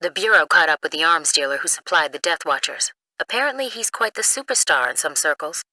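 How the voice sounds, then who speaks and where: An actress playing an earnest, businesslike intelligence analyst in a video game, crisp and matter-of-fact. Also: female voice, low-pitched, lively intonation, fairly quick, bright, forceful tone, dry recording, exaggerated voice, rough recording. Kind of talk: video game cutscene acting.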